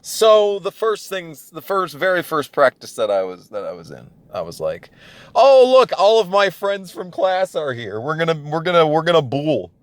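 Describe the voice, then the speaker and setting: A man's voice: fairly high pitch, big pitch swings, normal speed, dry recording. Young man ranting through a casual story. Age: 20s. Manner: profane, exasperated ranting. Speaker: storyteller on a gaming channel. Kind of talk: casual comedic personal storytelling.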